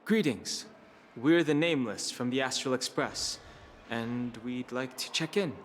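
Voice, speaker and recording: An actor playing a reserved, courteous and serious young adventurer in a game, with a formal, even delivery. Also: male voice, mid-range voice, unhurried, slight background noise, lightly acted. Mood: calm, formal.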